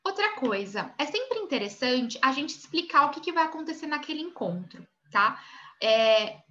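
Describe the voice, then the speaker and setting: A woman's voice: high voice, big pitch swings, normal speed, sharp tone, lo-fi sound. A Brazilian woman teaching an online class on image consulting, chatty and full of little check-ins like ok. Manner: chatty, many tag questions like ok and né.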